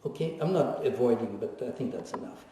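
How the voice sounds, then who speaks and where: A man in his seventies speaks in a mellow, darker voice, reflective and gently teasing, with many pauses. He is picked up from a distance, and the sound rings through a large echoey hall. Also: mid-range voice, lively intonation. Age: 70s. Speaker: guest speaker, Holocaust survivor. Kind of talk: personal testimony in a lecture Q&A. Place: lecture hall. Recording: echoey hall recorded from far off.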